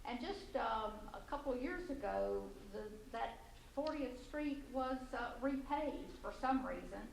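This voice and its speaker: A woman with a loud voice giving public comment at a city council meeting, halting and full of fillers and restarts. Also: fairly high pitch, lively intonation, creaky. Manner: halting, many fillers and restarts, light laughs. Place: community center meeting room. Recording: echoey, distant, faint room noise.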